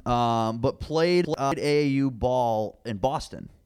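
A man's voice: medium pitch, slow, darkish tone, clear, resonant tone. A man chatting casually as the host of a sports interview podcast.

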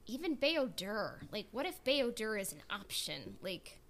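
A woman's voice: mid-range voice, big pitch swings, measured pace, a bit bright. A woman hosting a casual podcast, chatting informally and reading out listener comments. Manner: informal, conversational. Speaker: podcast host.

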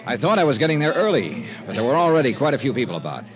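An actor playing a hard-boiled, wary insurance investigator in a classic radio drama, with an American accent and a wry, clipped, matter-of-fact manner. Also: male voice, mid-range voice, very animated, racing, music in the background, lightly acted. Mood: wry narration. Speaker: detective narrator and lead. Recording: old radio broadcast recording.

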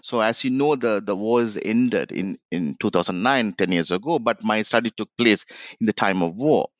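Halting Sri man, dull dry sound. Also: on the low side, no room sound. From Sri Lanka. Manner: halting, many "uh" fillers and restarts, reflective. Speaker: author, guest. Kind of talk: academic interview answer.

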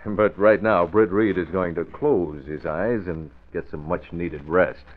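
A calm, assured crime-fighting hero, explains things in a measured, low voice. It is old radio drama with a narrow, phone-like sound. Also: male voice, noisy background. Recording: thin, phone-like vintage radio.

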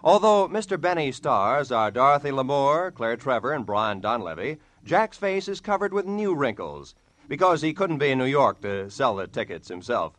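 A man's voice: medium pitch, big pitch swings, normal speed, a bit bright, no room sound. A man announcing a scripted promotion in 1940s radio style as the show's announcer.

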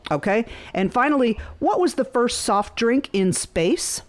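A woman hosting a phone-in quiz in a casual, chatty, bantering way, quick to laugh. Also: a little high, lively intonation. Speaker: radio show host running a phone-in quiz.

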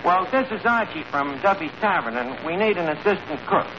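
An actor playing a brash, scheming tavern manager in a 1940s radio comedy, with a New York accent and a bluffing, word-mangling way of talking. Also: male voice, high voice, animated, a little fast, over a noisy crowd. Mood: breezy, bluffing. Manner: bluffing, mangles grammar and fancy words. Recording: noisy muffled old radio broadcast, audience behind.

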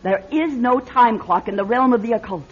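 An actress playing a scheming middle-aged fortune-teller in a classic radio drama, grandiose and coaxing in manner. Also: female voice, on the high side, moderate pace, breathy edge. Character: theatrical, scheming female fortune-teller and fake medium. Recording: muffled vintage radio, music behind.

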